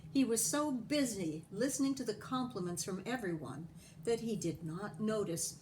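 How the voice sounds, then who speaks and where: A woman reading a picture book aloud in an expressive, animated storytelling style. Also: mid-range voice, normal speed, a little hiss or hum, low-quality recording. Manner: animated, acting out the dialogue. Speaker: storybook reader.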